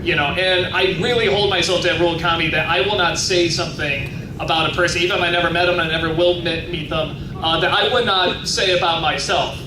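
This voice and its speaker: A man in his thirties with a high-pitched voice, chatting casually as he answers audience questions at a convention panel, full of fillers and restarts. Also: steady tone, fast talker, far from the mic. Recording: echoey convention hall from afar, noisy.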